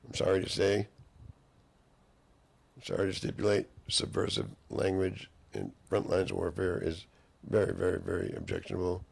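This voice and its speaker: Hoarse low-voiced man, heavy vocal fry. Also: normal speed. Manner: rambling, mock-courtroom phrasing, drifting sentences. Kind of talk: rambling monologue to camera.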